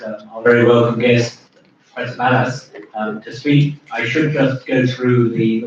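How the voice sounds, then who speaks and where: A man's voice: medium pitch, a bit bright, a little hiss or hum. A man opening a conference as its chair, speaking hesitantly with many fillers. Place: conference room. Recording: echoey hall from far off, dull sound.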